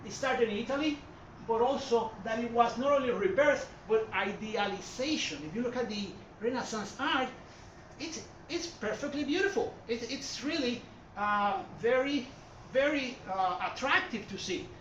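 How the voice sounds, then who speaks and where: A man's voice: extremely high pitch, normal speed, a bit bright. Puerto Rican man lecturing in an echoey gallery. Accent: Puerto Rican Spanish. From Puerto Rico. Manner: rambling, self-correcting, with many fillers. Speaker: art history presenter. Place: art gallery hall with an audience. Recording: far-off echoey room mic, dull and noisy.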